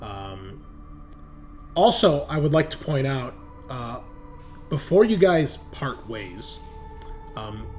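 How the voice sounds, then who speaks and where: A man narrating a tabletop roleplaying game as game master, in a casual, sweary, explanatory way. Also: medium pitch.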